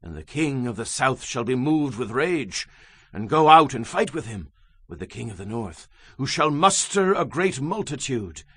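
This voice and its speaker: Expressive man reading scripture, husky edge. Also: medium pitch, normal speed, dry recording. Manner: solemn, declamatory. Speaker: Bible narrator.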